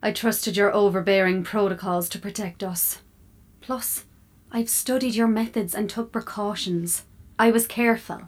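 A woman's voice: mid-range voice, fairly even intonation, moderate pace, lightly acted. A woman playing an opinionated, scheming female smart fridge in an audio drama, sly and self-assured. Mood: sly, self-satisfied.

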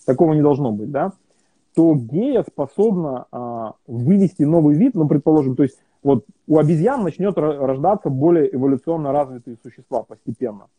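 A man's voice: a little high, expressive, a little fast, dark tone, husky, no room sound. A man being interviewed, talking in a casual, hesitant way with many fillers and restarts. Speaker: interview guest. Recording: tinny phone-like line.

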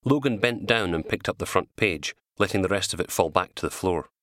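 A man reading his own crime novel as an audiobook, in an animated scripted narration. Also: sits low, a little fast, no room sound, clean studio sound.

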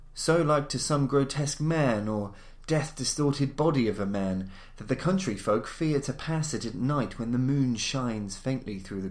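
A man reading gothic horror stories as a podcast narrator, with a formal, measured, dramatic storytelling delivery. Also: medium pitch. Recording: roomy, echoing voice recording.

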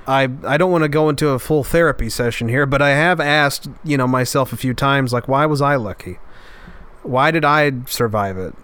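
A man with a nasal, congested voice, still stuffed up after an illness, delivers a reflective podcast monologue. He speaks earnestly and from the heart at a middle pitch. His voice stays smooth and resonant. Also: moderate pace, a bit bright. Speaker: podcast host.

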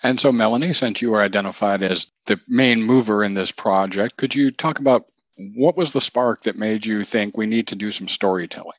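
Man, interviewer, low voice, dry close sound, relaxed friendly questions. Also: brisk, no echo.

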